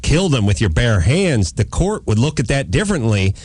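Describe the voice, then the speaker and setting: A man hosts a comedy talk show with a very animated, mid-pitched voice. He chats casually and cracks sarcastic jokes, recorded dry and close. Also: normal speed, slightly rough, no room sound. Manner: wisecracking, sarcastic. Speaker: radio talk show host.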